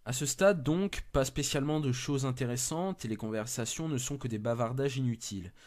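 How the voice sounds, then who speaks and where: A man's voice: mid-range voice, brisk, darkish tone. A man narrating a scripted first-person horror story.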